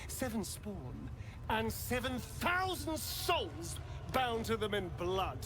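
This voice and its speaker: A theatrical vampire spawn in a video game, sardonic and weighed down by guilt. His male voice sits fairly high and swings widely in melody. He speaks in halting, emphatic phrases over clearly noisy ambience. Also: very animated, full character voice. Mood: bitter, appalled. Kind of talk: dramatic video game acting.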